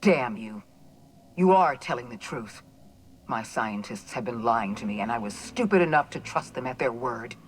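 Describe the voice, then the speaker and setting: Low-voiced, imperious corporate villainess. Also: female voice, animated, slight background noise. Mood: shaken anger. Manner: measured, formal, condescending. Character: cold, imperious female corporate official, menacing villain. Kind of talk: dramatic video game dialogue.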